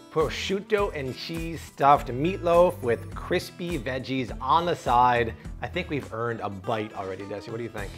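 An upbeat man in his thirties cooks in a home kitchen, joking with the people behind the camera. His voice sits in an everyday middle pitch. Soft music and pan sizzle run quietly behind him. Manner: energetic, jokey, chatty to the crew. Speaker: cooking show host. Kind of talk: upbeat cooking demo.

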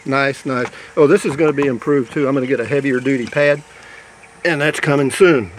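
A man's voice: mid-range voice, a little fast, slight background noise, low-quality recording. Man, casual self-shot vlog, folksy rambling tone, keeps checking in with the viewer. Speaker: vlogger showing his camper build. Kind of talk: casual walkthrough. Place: in and around a pickup camper shell outdoors.

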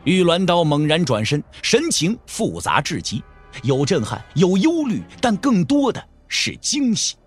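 A male narrator tells a martial-arts story in a dramatic, scripted style. His voice sits a little high and moves in lively swings of pitch. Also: measured pace, slightly rough, faint music behind.

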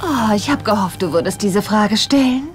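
Woman as a haughty, animated evil mermaid. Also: mid-range voice, normal speed, soft background music, lightly acted. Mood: gloating, menacing. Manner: theatrical, mock-polite, gloating. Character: evil mermaid sorceress, haughty, gleefully cruel. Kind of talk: German children's audio drama dub.

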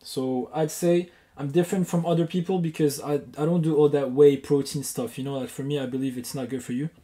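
Brief: male caller from Morocco, French native speaker, mellow warm tone, confident and explanatory. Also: fairly high pitch, fairly even intonation, a little fast. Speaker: guest caller. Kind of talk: casual phone interview.

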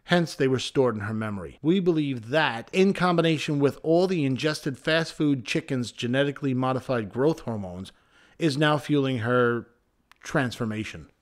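A man voicing an earnest government scientist in an animated comedy, explaining things in a formal way. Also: medium pitch, normal speed, lightly acted.

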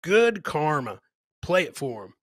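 A middle-aged man hosts a casual comedy livestream in a bright, forceful voice that sits a little high. He jokes with viewers as he comments on clips and likes to repeat his phrases. The sound is close and dry, as through a headset. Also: very animated, a little slow, dry recording. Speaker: comedy show host. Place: indoor home studio, webcam livestream.

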